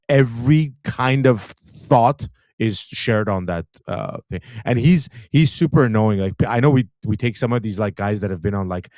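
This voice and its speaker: A man co-hosting a casual livestream, thinking out loud in a rambling way with many fillers and restarts. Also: medium pitch, no room sound, studio quality. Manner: rambling, full of "like" and restarts, animated impressions. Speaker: livestream co-host.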